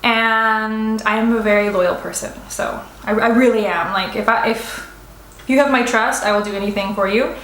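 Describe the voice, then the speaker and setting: A woman's voice: on the high side, steady tone, moderate pace, a bit bright, echoey room, a little hiss or hum, poor audio. A young woman in her twenties, vlogging a casual mukbang chat in a restaurant, rambling with many fillers and restarts. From United States. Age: 20s. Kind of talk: casual mukbang chat, answering viewer assumptions.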